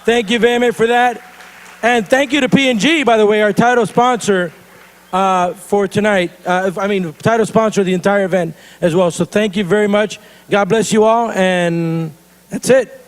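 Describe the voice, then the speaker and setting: A man's voice: sits high, fairly even intonation, moderate pace. A man hosting an awards event on stage, upbeat, thanking sponsors and rallying the crowd. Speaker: event host. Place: event stage before an audience.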